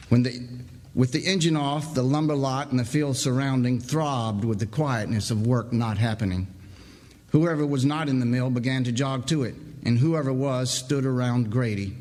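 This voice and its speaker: A man reads literary fiction aloud at a live event. His medium-pitched voice moves with deliberate, dramatic phrasing and carries a long reverb from the hall. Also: a little mellow. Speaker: story reader. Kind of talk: scripted literary narration, staged reading.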